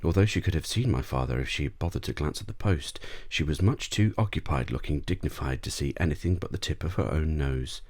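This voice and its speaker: A man's voice: a bass voice, a little fast, hardly any pauses, a little mellow. A man narrating a bedtime story in an ASMR audiobook style.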